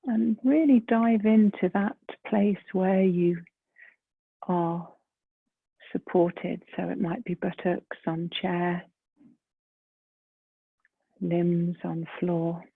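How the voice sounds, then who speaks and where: Very slow, breathy woman guiding meditation. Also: lowish voice, fairly even intonation, dry recording. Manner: gentle, unhurried, with long pauses between phrases. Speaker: meditation guide. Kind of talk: guided meditation. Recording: muffled phone-like lo-fi sound.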